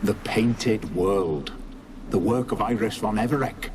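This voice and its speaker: A low male spirit servant voiced by a man, cool, cryptic and knowing, in clipped phrases. Fantasy game dialogue with clear ambient noise behind it. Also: unhurried, natural voice. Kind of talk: video game voice acting.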